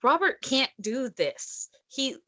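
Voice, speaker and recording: A woman's voice: sits high, big pitch swings, takes it slowly, pushed and bright. A woman co-hosting a casual book discussion, talking in a blunt, emphatic, sarcastic way. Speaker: podcast co-host discussing a novel.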